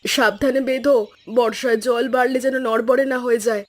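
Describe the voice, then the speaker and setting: A woman voicing a gentle, caring cartoon mother. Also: a little high, steady tone, normal speed, lightly acted. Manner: gentle, soothing. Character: caring middle-aged wife and mother, gentle. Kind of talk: cartoon voice acting.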